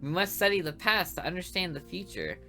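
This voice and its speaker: A woman voicing a strict, tired high school teacher in a visual novel. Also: sing-song, a little fast, sharp tone, faint music behind, heavily acted. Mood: stern. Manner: strict and commanding. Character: stern, weary female high school teacher.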